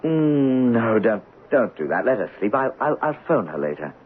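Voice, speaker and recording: An actor playing a soft-spoken writer in an old radio drama, polite and prone to stammering. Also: male voice, medium pitch, sing-song, moderate pace, narrow, tinny audio, lightly acted. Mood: polite, hesitant. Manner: hesitant, stammering and apologetic. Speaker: Robin Graves, a writer. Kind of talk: 1970s radio drama acting. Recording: old radio broadcast, phone-thin with hiss.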